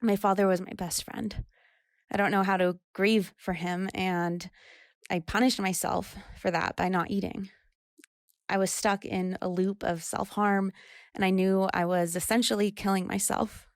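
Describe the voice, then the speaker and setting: An actress as a troubled young woman in her twenties in an audio drama. Her voice is creaky and recorded dry and close, at an ordinary pitch. She speaks candidly and quietly, as if confessing. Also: flat delivery, measured pace, no room sound, lightly acted. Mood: reflective, confessional. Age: 20s. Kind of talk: audio drama monologue and dialogue.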